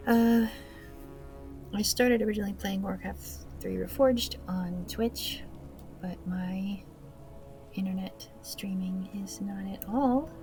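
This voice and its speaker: A woman hosting a gaming video with casual, awkward, self-deprecating commentary, speaking hesitantly. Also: medium pitch. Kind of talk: casual let's play commentary.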